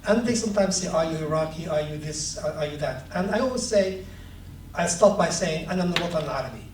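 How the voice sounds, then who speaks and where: A man from Palestine talks about his book, his voice pitched a little high for a man. He hesitates and fills gaps with ums, then turns lively in his anecdotes. The room is echoey and the mic sits far away with a light hiss underneath. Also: racing. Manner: hesitant, many ums, animated when telling anecdotes. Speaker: author giving a talk. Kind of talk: reflective talk and storytelling. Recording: echoey room, distant mic, faint noise.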